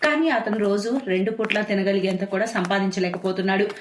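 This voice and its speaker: A woman's voice: mid-range voice, fairly even intonation, brisk, roomy sound, noisy background. A woman narrating a scripted moral story as a storyteller.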